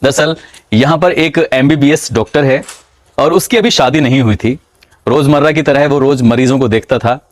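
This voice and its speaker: A man narrating a true-crime story in a measured, dramatic storytelling manner. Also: mid-range voice, no room sound.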